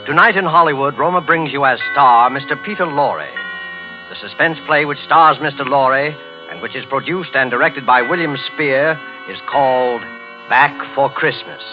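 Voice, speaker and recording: Ominous theatrical 1940s radio mystery host played by a man, deliberate, dulled vintage sound over music. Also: medium pitch, highs cut off, natural voice. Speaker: radio series announcer. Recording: old radio recording with music.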